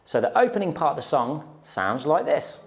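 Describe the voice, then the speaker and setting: A man's voice: fairly high pitch, sing-song, normal speed. A man in his thirties giving an informal, explanatory guitar tutorial to camera, often checking in with the listener, recorded on a lapel mic in a small room. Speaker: guitar teacher. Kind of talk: instructional tutorial talk to camera. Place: small plain indoor room.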